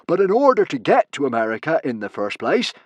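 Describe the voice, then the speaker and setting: A man voicing a maniacal, gloating Viking villain for a storybook, bellowing in drawn-out, grandiose phrases. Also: a little high, huge pitch swings, no room sound, muffled recording, pitched above the performer's own voice. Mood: gloating menace.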